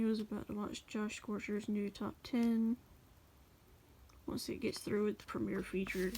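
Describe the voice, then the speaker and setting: Woman with a gravelly, creaky voice. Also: mid-range voice, mellow, slight background noise. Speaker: journal flip-through video creator. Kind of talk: casual journal read-aloud voice-over.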